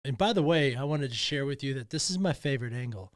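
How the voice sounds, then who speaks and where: A man's voice: medium pitch, lively intonation, quick pace, no room sound. A man talking alone in a podcast studio, emotional and emphatic, often repeating himself. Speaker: podcast host. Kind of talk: personal monologue.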